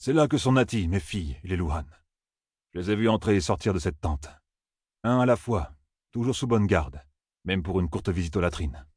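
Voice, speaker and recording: A man voicing a calm older farmer and father who speaks in a measured way. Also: low-pitched, breathy edge, dry recording, clean studio sound.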